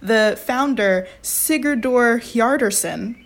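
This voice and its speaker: A woman's voice: fairly high pitch, takes it slowly, slight background noise. A woman co-hosting a casual podcast, telling a fact with enthusiasm, frequent laughs and fillers. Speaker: podcast co-host presenting a fact.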